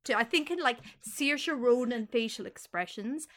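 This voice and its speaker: A woman's voice: on the high side, normal speed, a bit bright. An Irish woman co-hosting a podcast, chatting casually, self-mocking and prone to trailing off. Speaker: podcast co-host. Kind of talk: casual chat.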